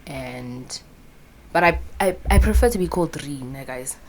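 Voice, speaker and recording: A woman's voice: low voice, expressive, moderate pace, a little hiss or hum. A South African woman in her early twenties chatting casually to camera, rambling and swearing freely, mixing in local words, recorded on a phone with a small mic. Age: 20s. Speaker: vlogger. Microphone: phone camera with a clip-on mic.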